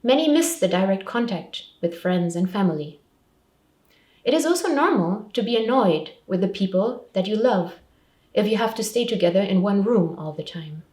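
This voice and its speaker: Woman, mid-pitched voice, scripted advice talk, simple structured phrasing, roomy echo, distant microphone. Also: lively intonation, normal speed, darkish tone. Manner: simple, clearly structured advice in short sentences. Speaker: refugee support counsellor presenting advice. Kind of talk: scripted public information talk.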